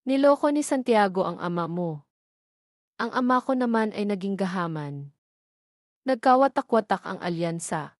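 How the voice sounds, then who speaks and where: A woman's voice: mid-range voice, animated, normal speed, long pauses, dry recording, studio quality, close to an everyday voice. Stern embittered young man, read by a woman. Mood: stern warning. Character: stern, embittered young man warning his former lover.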